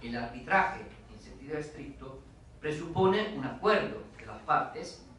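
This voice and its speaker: A man with a foreign accent gives an academic lecture, pausing often with fillers and restarting phrases. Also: fairly high pitch, lively intonation, a bit bright, slight background noise. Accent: foreign accent in Spanish. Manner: hesitant, many fillers and restarts. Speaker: guest lecturer. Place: lecture hall. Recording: echoey hall, mic from a distance.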